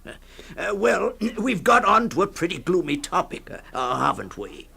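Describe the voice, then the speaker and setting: A doomed, fatalistic drinker in a radio drama. His voice is deeply creaky and gravelly, swinging widely in pitch. He speaks haltingly, muttering and trailing off toward a whisper. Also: very animated, bright, forceful tone, full character voice. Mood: awkward, grateful. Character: gloomy, fatalistic drinking man, resigned to a cursed bargain.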